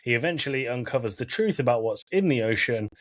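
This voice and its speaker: A man's voice: mid-range voice, lively intonation, quick pace, a bit bright, dry recording. A man hosting an informal podcast about films and games, talking with jokey asides and some swearing. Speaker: podcast host. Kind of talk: informal film and game discussion, recapping from notes.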